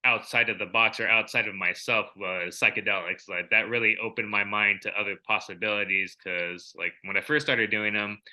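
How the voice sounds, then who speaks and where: A man's voice: on the low side, fairly even intonation, moderate pace, hardly any pauses, pushed and bright, low-quality recording. A young adult man co-hosting a podcast, talking in a reflective, rambling way with many fillers. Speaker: podcast co-host. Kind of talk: casual chat.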